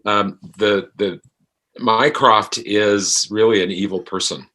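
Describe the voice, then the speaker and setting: A man speaking as an interview guest, reflective and halting as he searches for his words. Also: lowish voice, fairly even intonation, sharp tone. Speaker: podcast guest, actor.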